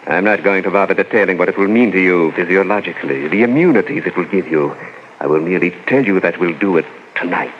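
An actor playing a menacing mad doctor in 1940s radio horror, speaking with formal, measured, condescending politeness. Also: male voice, sits low, lightly acted. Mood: coolly condescending. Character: menacing, superhumanly heavy male mad doctor, coolly polite. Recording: muffled noisy 1940s radio recording.

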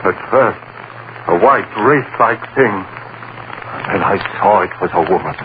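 A man's voice: lowish voice, lively intonation, narrow, tinny audio. Haunted man, crackly old radio horror. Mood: hushed dread. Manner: theatrical, halting, broken phrases with long dramatic pauses. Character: haunted condemned man recounting his nightmare in dread. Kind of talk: old-time radio horror acting, dramatic monologue. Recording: noisy, band-limited vintage radio recording.

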